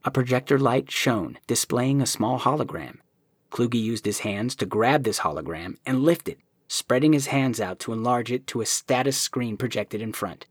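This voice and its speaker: A man narrating an audiobook in an even storytelling manner. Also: mid-range voice, moderate pace, slightly rough, no room sound, clean studio sound.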